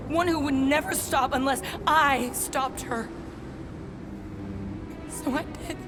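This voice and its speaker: A woman's voice: high voice, steady tone, slow. A woman playing a deadly, guarded alien assassin in a video game, intense and bitter, with a terse, dryly sarcastic delivery. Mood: grave, bitter. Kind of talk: dramatic video game acting. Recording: music behind the voice.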